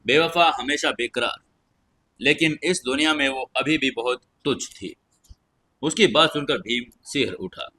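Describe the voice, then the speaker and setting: A man's voice: medium pitch, bright, forceful tone, breathy edge. A man narrating a scripted fantasy audio story in a dramatic storytelling style.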